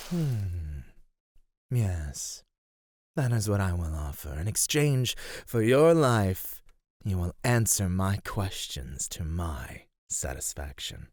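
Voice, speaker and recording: A man playing an ancient underground monster in an ASMR roleplay, speaking in a measured, menacing, teasing way with formal wording. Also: sits low, a little mellow, no echo, clean studio sound, full character voice. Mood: menacing, hungry. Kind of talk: ASMR roleplay, slow intimate scripted acting.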